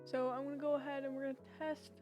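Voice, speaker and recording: A young woman with a high voice talks through a software tutorial in an informal, step-by-step way. Music plays behind her as she explains. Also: flat delivery, fast talker. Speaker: tutorial host.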